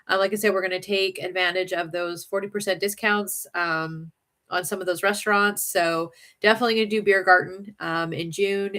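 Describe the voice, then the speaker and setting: A woman with a slight Southern accent hosts a relaxed livestream, chatting with viewers in a rambling way. She fills her talk with ums and little laughs, and her voice sits at a middle pitch. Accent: slight Southern American. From northern United States. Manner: chatty and rambling, many fillers and giggles. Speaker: livestream host. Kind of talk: casual livestream chat.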